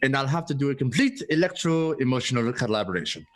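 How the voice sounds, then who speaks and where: A man playing a smooth, secretive seller of illicit androids in a radio play, formal and assuring in manner. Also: medium pitch, sing-song, fast, lightly acted. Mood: smoothly assuring. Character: smooth, secretive male salesman of illegal duplicate androids.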